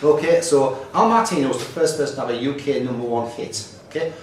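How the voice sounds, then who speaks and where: A man's voice: medium pitch, brisk. A man reading quiz questions casually, with a blunt, offhand way of talking. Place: small pub room, away from the mic. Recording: very echoey, distant, faint room noise.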